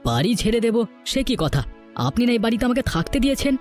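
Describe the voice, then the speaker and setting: A man acts out an anxious but stubborn village husband from a cartoon folktale. He speaks earnestly in a high voice, at a quick pace, over faint music. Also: big pitch swings. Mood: protesting. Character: anxious, humble village husband, stubborn about his home. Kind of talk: Bengali cartoon folktale voice acting.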